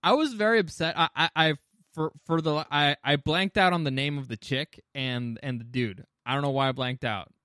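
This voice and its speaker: Higher-voiced man in casual, opinionated chat. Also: normal speed, bright, forceful tone, no echo, clean studio sound. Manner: opinionated, profane. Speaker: podcast co-host. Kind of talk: casual chat about film and game adaptations.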